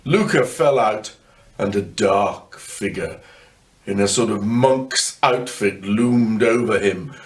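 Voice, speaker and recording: An older man reading a children's ghost story aloud in a wry way, with dramatic pauses. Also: on the low side. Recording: distant microphone.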